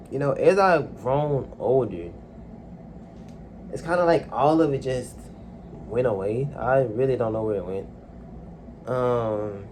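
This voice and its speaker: A young man speaks into a phone in a quiet room at home, his voice neither high nor low. He talks haltingly, trailing off and filling gaps with ums. A faint hiss sits under the recording. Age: young adult. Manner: soft-spoken, hesitant, many fillers. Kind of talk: casual personal monologue.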